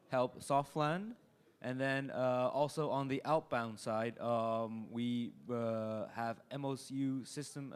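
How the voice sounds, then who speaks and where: A man's voice: medium pitch, smooth. A man speaking on a live panel at a hotel event stage, composed with formal phrasing. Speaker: city program director, panel speaker.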